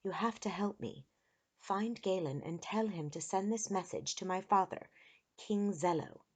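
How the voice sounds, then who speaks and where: Young storybook princess, read by a woman: hushed, composed, serious, with quiet urgency and courage. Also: unhurried. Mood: calm, urgent. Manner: hushed, low-key, serious delivery. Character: secretive young princess girl, calm, brave, urgent.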